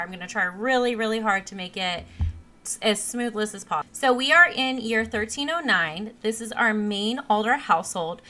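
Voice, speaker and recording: A young woman with a naturally monotone voice, casually narrating her gameplay with plenty of ums and restarts. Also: medium pitch. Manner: rambling, with many ums and restarts. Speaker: let's play host. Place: home computer setup. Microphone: desk mic replacing a broken headset.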